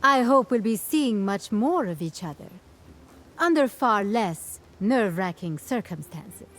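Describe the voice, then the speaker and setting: A flirtatious female ally speaks warmly and without hurry. Her tone is teasing and familiar. Faint music plays behind her. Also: medium pitch, expressive. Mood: flirty. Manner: warm, teasing, unhurried. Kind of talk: game cutscene dialogue.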